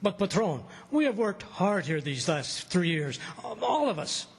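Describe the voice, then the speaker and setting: A man playing a humble, loyal plantation foreman in a radio drama, husky, hesitant and deferential. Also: high-pitched, sing-song, measured pace, exaggerated voice. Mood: anxious, deferential.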